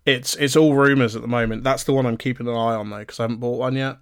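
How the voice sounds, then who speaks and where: A man co-hosting a podcast, relaxed and chatty and full of ums, his voice going a little hoarse. His middle-pitched voice is recorded close on a dry, dead mic. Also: quick pace, dry recording. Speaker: podcast co-host. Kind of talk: casual podcast chat.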